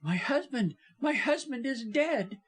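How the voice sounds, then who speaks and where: Man voicing a high, overwrought young wife. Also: normal speed. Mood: terrified. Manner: gasping, vehement, haughty. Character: pretty young wife, frightened, imperious and impatient.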